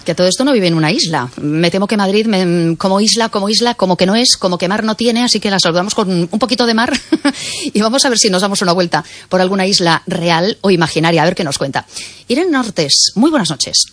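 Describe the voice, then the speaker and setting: A woman hosting a radio interview in a studio, chatty and lively. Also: medium pitch, fast talker, dry recording. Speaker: radio host. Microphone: studio microphone.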